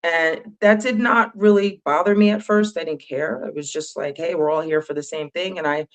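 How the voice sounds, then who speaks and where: A woman speaking as a panelist on an online call, talking into a phone from the floor of an animal rescue, with a loud, bold voice and candid, tearful manner. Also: deep voice, moderate pace, rough recording. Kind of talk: emotional panel talk. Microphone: phone clipped to a dog crate.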